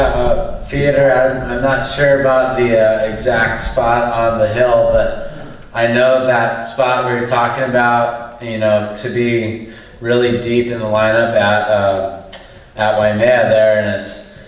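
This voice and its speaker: A man on a surf panel answering at length, earnest and halting, with many fillers. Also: medium pitch. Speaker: panelist, son of a surfer. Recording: echoey hall, voice from far off.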